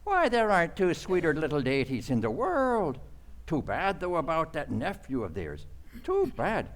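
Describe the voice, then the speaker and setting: A man's voice: on the high side, huge pitch swings, normal speed. A man playing a folksy, gossipy, sympathetic neighbourhood cop in an old-time radio play. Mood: sympathetic gossip. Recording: faint background noise.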